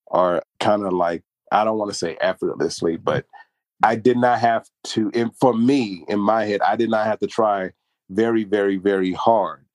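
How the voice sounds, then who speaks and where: A man's voice: fairly low pitch, no room sound. A young man talking earnestly and reflectively about pride and humility in a casual podcast chat. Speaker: podcast co-host.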